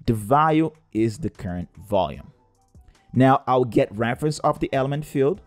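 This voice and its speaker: A man's voice: medium pitch, animated, moderate pace, no echo, soft background music. A man narrating a scripted step-by-step coding tutorial in a methodical, explanatory way. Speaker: tutorial presenter.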